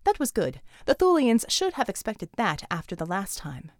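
A woman's voice: mid-range voice, huge pitch swings, normal speed, no room sound, studio quality. A woman reading dramatic action fiction as a scripted audiobook narration.

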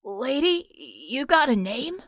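A woman voicing a kind, sunny young boy with a gentle, reassuring way of talking. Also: sits high, expressive, slow, no echo, clean studio sound, full character voice. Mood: gentle concern.

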